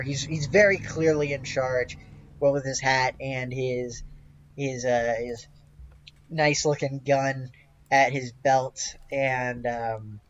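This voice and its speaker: Slow, halting man running a tabletop game. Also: medium pitch, dull audio. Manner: hesitant, halting delivery. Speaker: game master. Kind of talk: improvised tabletop game narration.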